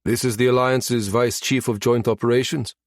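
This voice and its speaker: A man's voice: medium pitch, fairly even intonation, normal speed, no room sound, clean studio sound, lightly acted. A man voicing a haughty, coolly contemptuous premier of an empire in a science fiction audiobook, clipped and commanding. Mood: cool, probing.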